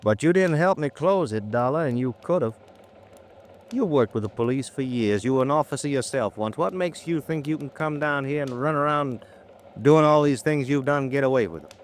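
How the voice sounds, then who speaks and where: An American man playing a blunt, folksy police lieutenant who lectures gruffly, in old-time radio drama style. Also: mid-range voice, moderate pace, a little hiss or hum, close to an everyday voice. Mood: stern, scolding. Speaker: supporting actor.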